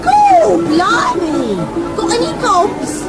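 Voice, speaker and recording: A woman's voice: very high voice, wildly swooping pitch, takes it slowly, full character voice. A woman playing a brash, flirty Cockney street woman in a comedy radio play, with a London Cockney accent and a bawdy, wheedling manner. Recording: radio taped to cassette, music and crowd sounds.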